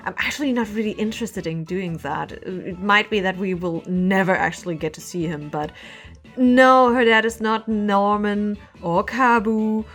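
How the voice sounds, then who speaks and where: A woman giving casual Q&A answers to her viewers as a webcomic artist, in an informal, animated and emphatic way. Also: mid-range voice, moderate pace, faint music behind. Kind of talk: casual Q&A vlog chat.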